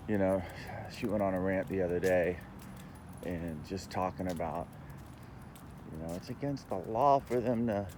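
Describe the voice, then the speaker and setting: A man giving a rambling, casual monologue as a vlogger, explaining in a halting way and checking in as he goes. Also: low voice, a little mellow, a little hiss or hum.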